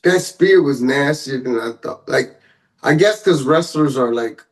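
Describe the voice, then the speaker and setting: A man's voice: mid-range voice, expressive, unhurried. A man co-hosting a casual podcast, giving slangy, opinionated takes on hip-hop and pop culture news with exaggerated asides. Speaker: podcast co-host.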